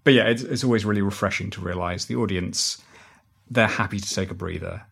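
A man's voice: low-pitched, a little fast, creaky, fairly bright tone, slightly rough. A man being interviewed as a podcast writer, talking conversationally and acting out little quoted reactions as he explains.

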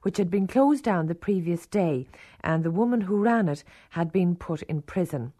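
A woman reporting the news in a measured, formal way. Her voice sits a little low and sounds warm and mellow. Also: expressive. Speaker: radio reporter. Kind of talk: news report narration and interviewing.

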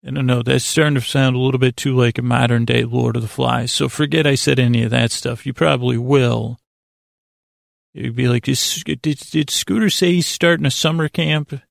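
Guy rambling on a sleep podcast, super creaky gravelly voice, kinda halting and self-deprecating, close dry sound. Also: mid-range voice, fairly even intonation, no echo. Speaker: host of a bedtime sleep podcast. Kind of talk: rambling, informal bedtime monologue.

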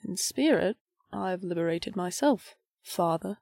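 A woman's voice: on the low side, animated, unhurried, vocal fry, a little mellow, no echo, clean studio sound, heavily acted. A young woman playing a disillusioned, grieving teenage priestess in an audio drama, speaking in a formal, articulate way. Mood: guarded, defiant. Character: disillusioned nineteen-year-old priestess, defiant, grieving, bitter.

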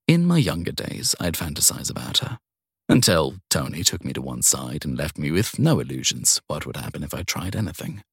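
A man narrates first-person crime fiction in a low voice. His intonation moves widely as he tells the story in measured phrases with dramatic pauses. The sound is dry, with no room around it. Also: sing-song, breathy edge, dry recording.

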